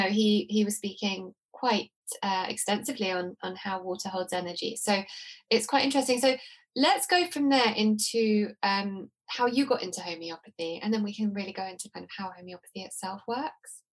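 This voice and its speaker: A woman's voice: medium pitch, fairly quick. A woman hosting an interview, a bit bunged up from hay fever, asking questions in a friendly way. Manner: friendly, asks questions, laughs along. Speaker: podcast host. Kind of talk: conversational interview.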